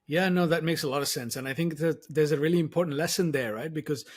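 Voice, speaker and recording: Slightly husky, higher-pitched male podcast host. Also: fast talker. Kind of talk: conversational interview, reflecting and summarizing.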